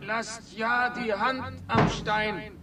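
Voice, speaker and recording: A man's voice: very high-pitched, fairly even intonation, very slow, heavily acted. A man playing an anxious, commanding overseer of slaves in a radio play, half singing declamatory orders. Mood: urgent warning. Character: anxious male overseer of straining slaves hauling stone. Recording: distant, very echoey, loud music.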